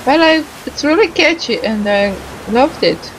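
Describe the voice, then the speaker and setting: A woman's voice: high voice, lively intonation, unhurried, a little hiss or hum, low-quality recording. A woman giving casual, hesitant commentary as she plays a game, musing aloud with little hums. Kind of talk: casual playthrough commentary, reading dialogue aloud.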